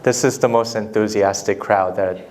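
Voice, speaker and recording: A young man whose first language is Korean, a documentary filmmaker answering student questions in a relaxed, thoughtful way on a handheld mic. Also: on the low side, crowd noise. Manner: thoughtful, relaxed, slow deliberate phrasing. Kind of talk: casual Q&A with students. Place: school hall. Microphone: handheld microphone.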